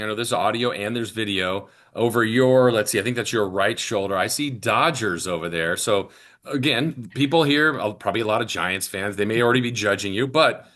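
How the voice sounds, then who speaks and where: A man's voice: medium pitch, a bit bright. A man hosting a sports podcast, asking questions in a casual, conversational interview style.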